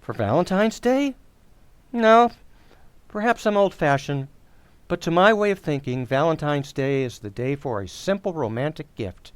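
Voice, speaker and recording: Mild-mannered radio sitcom husband played by a man, polite and hesitant, very lively intonation, close dry sound. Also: a little high, moderate pace, clean tone, no room sound, close to an everyday voice. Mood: sheepish, evasive. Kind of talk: old-time radio sitcom acting.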